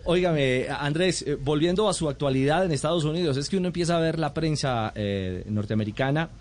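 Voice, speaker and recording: A man's voice: a little high, brisk, darkish tone. A man co-hosting a sports radio show, asking chatty questions.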